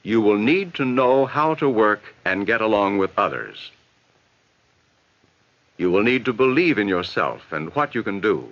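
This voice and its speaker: An American man reading scripted, instructive narration in a measured, advising way for a 1960s educational film. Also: lowish voice, sing-song. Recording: dull vintage 1960s film soundtrack.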